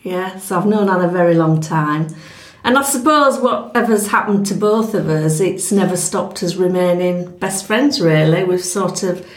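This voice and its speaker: A middle-aged woman with a Yorkshire accent, a retired nurse and manager chatting with friends, measured and reflective. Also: lowish voice. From England. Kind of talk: casual group chat. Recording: echoey room.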